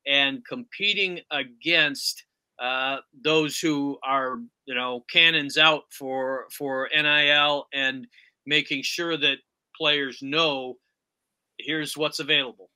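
Bright, forceful male sports interviewer. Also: mid-range voice, fairly even intonation, takes it slowly, smooth, rough recording. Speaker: sports podcast interviewer, host.

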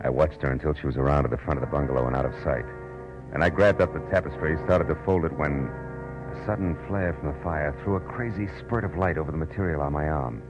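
A man's voice: very deep voice, fast, dull audio, music in the background. A male actor playing a hard-boiled, wisecracking American private detective in an old radio drama, with a clipped, tough, slangy delivery. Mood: tense narration. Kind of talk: old-time radio drama acting with first-person narration. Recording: noisy vintage radio recording.

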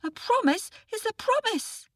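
A woman's voice: very high-pitched, very animated, unhurried, fairly bright tone, dry recording, voice pushed up, full character voice. Woman playing a pleading little boy. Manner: pleading, indignant. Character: earnest, upset young boy.

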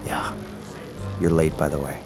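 A man's voice: a bass voice, little pitch movement, measured pace, over music. A man acting a menacing, leering enforcer in an audio drama, with a taunting, domineering manner. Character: menacing, leering male enforcer of a secret party's rules.

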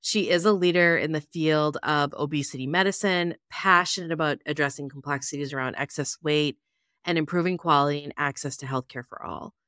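A woman's voice: deep voice, brisk, a bit bright, dry recording, studio quality. A woman co-hosting a podcast, reading an upbeat, enthusiastic scripted introduction. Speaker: podcast co-host.

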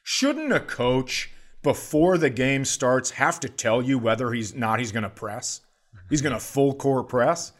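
A man co-hosting a comedy podcast, ranting for laughs in casual chat with blunt, profane exasperation. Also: fairly high pitch.

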